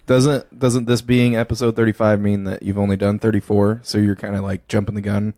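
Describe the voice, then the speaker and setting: A man with a fairly low voice is a guest on a podcast. He chats casually, questioning and teasing in a wry way. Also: level tone, brisk, warm tone, dry recording, clean studio sound. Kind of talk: casual chat.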